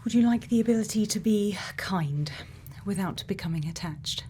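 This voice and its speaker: A woman doing an ASMR roleplay as a gentle scientist, speaking in a breathy whisper and soft murmur, slow and deliberate with long pauses. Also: medium pitch, slight background noise. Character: gentle scientist questioning a robot friend. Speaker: ASMR performer.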